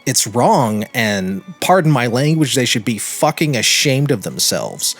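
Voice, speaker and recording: An American man hosting a podcast, speaking in an earnest, emphatic way with deliberate phrasing. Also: medium pitch, very animated, a bit bright. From United States. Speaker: podcast host and narrator. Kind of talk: podcast introduction and personal commentary. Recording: music behind the voice. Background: background music.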